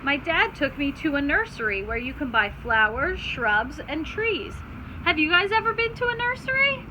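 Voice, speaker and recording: High, animated woman reading to children. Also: normal speed. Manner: instructive, asks listeners questions, simple explanations. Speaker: teacher reading aloud to children. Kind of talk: children's read-aloud with explanatory asides. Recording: clear background noise.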